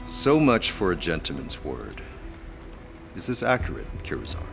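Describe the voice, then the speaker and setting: An actor playing a smug, calculating wealthy buyer with a suave, pompous, sardonic manner in an audio drama. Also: male voice, low-pitched, wildly swooping pitch, normal speed, vocal fry, over music, heavily acted. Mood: smug, disdainful.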